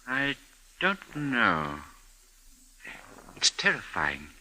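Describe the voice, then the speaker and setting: Nervous editor talking to his boss, very tense sharp voice, swoopy, old radio play. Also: medium pitch, wildly swooping pitch, drawn-out words, heavily acted, rough recording. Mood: uneasy, urgent. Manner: uneasy, deferential. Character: anxious, deferential male editor, shaken. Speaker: actor. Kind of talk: 1950s radio drama acting. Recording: 1950s radio recording.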